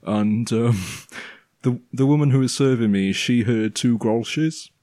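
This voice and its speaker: A man with a low, resonant, bassy voice, a podcast guest telling anecdotes in a relaxed, deadpan way. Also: takes it slowly, no room sound, clean studio sound. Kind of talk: casual chat.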